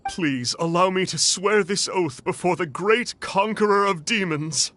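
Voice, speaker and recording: A man's voice: sits high, unhurried, pushed and bright, slight background noise. An actor playing a nervous, repentant male fraud exorcist, stammering and reverent. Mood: solemn.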